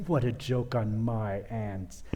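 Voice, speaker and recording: A man acts a scheming criminal nephew in an old-time radio comedy. The voice is dark and mellow, with a commanding manner and a menacing politeness. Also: lowish voice, slow pace. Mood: gleeful scheming.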